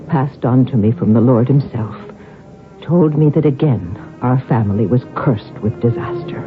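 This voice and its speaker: A woman's voice: rumbling low, phone-quality sound. Earnest young noblewoman, old radio. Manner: earnest, measured, theatrical. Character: devout, earnest young Irish noblewoman telling her family's story. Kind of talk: narration and acting in a 1970s radio drama. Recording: band-limited old radio with music.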